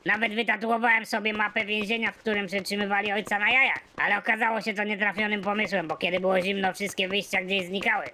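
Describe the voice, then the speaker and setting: A performer voicing a naive, hapless cartoon hero who tells his absurd story in a flat, matter-of-fact way. Light noise from a home recording sits under him. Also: mid-range voice, fast talker, few pauses, natural voice. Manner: matter-of-fact, deadpan delivery of absurd jokes. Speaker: narrator and protagonist. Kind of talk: animated comedy narration.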